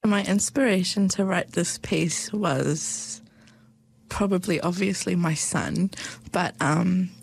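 Young New Zealand woman, spoken word poet; low, gravelly voice, soft and unhurried with hushed lines. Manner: soft, slow, measured delivery with hushed phrases. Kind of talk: spoken word poetry and personal reflection.

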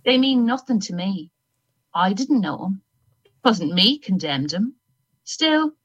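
An older Irish woman, once a public hangman, tells of her work in a flat, unsentimental voice, as a woman reads her part aloud. She speaks at a middling pitch with a plain, dry, matter-of-fact manner. Nothing she says seems to trouble her. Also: dull audio. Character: old Irish woman hangman, grim and unrepentant.

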